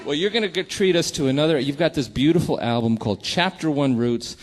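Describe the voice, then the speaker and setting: A man's voice: on the high side, fairly quick, rough recording. A man hosting a radio show, chatting casually in an interview with a jokey, teasing manner.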